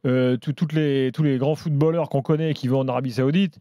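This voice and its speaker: A man's voice: mid-range voice, steady tone, a little fast, dark tone, dry recording. A male radio host in a studio, asking a caller casual, probing questions. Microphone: studio microphone.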